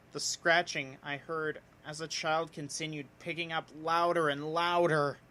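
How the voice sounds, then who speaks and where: Bright-voiced man reading horror dramatically. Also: a little high. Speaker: narrator reading a horror story. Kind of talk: dramatic scripted horror narration.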